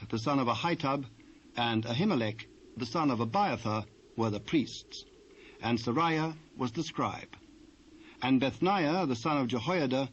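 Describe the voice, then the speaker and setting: A man with a British accent narrating scripture in a formal, measured way. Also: mid-range voice. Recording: dull, faintly noisy old cassette transfer.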